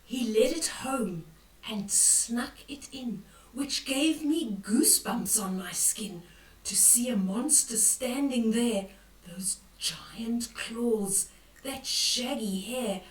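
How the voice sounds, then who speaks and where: An older woman reading a picture book aloud to children, expressive and dramatic, with hushed whispers and exclamations as she comments on the pictures. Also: on the high side, slow. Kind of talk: bedtime read-aloud with asides on the pictures. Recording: roomy echo, distant from the mic.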